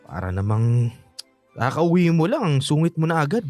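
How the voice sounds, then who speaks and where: Animated, wheedling young Filipino guy, acted by a man. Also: on the high side, normal speed, dry recording, studio quality, soft background music. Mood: casual. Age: young adult. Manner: pleading, playful. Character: lazy, wheedling young male student best friend. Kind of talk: radio drama dialogue.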